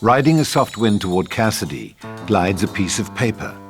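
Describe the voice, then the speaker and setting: Male narrator for script directions, lowish voice, clear music bed underneath. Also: lively intonation, moderate pace. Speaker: narrator reading stage directions.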